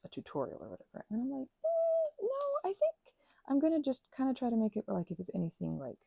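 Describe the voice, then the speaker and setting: A woman's voice: high-pitched, huge pitch swings, a little fast, vocal fry, mellow, breathy edge, no echo, lo-fi sound. A woman chatting in a rambling, casual way while she prepares craft materials, full of fillers and restarts. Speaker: ASMR and audio creator.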